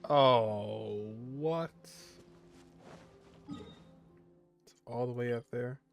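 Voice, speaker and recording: A man's voice: mid-range voice, very slow, vocal fry. A man doing casual let's-play commentary, offhand and jokey, half talking to himself while he plays. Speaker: gaming streamer. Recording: game music behind the voice.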